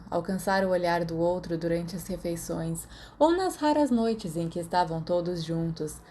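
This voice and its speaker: A woman's voice: on the low side, mellow. A woman with a Brazilian accent reads a story aloud as scripted audiobook narration. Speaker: podfic narrator.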